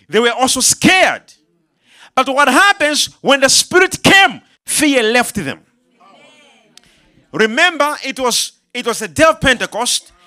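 High, bright-voiced male preacher. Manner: call-and-response, rising to shouts. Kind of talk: sermon.

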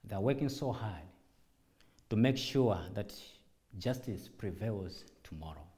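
A man speaking as a church leader in a formal public address, deliberate and emphatic, in short measured phrases. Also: fairly low pitch, lively intonation, warm tone. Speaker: church director and apostle giving a public address.

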